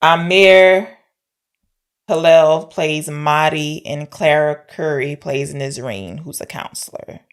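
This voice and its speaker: A woman's voice: low voice, takes it slowly, smooth. A woman co-hosting a podcast, chatting informally about films and thinking aloud as she goes. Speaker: podcast co-host discussing films. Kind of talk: casual film discussion.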